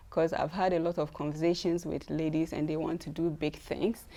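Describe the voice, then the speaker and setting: A woman with a low, gravelly voice answers as a guest on a TV talk show. She speaks earnestly, pausing with fillers as she gathers her thoughts. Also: warm tone. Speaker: guest, business founder. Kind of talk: TV studio interview.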